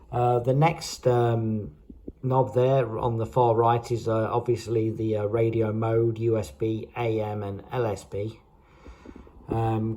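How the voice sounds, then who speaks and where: A man giving a casual, unscripted product walkthrough in a halting way, often repeating himself as he explains. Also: mid-range voice, mellow, a little hiss or hum. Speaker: hobbyist presenter.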